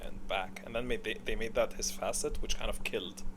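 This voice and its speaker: A man giving casual, unscripted commentary on a video game, opinionated and thinking out loud as he ranks things. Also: fairly low pitch, fairly even intonation, fairly quick, background noise.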